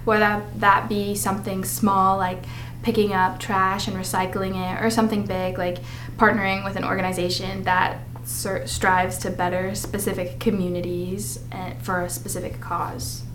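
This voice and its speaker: A woman's voice: mid-range voice, little pitch movement, normal speed, few pauses, a bit bright. A teenage girl from the Dominican Republic and the US, a student guest speaking in a composed, thoughtful way. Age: teens. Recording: echoey room, faint noise.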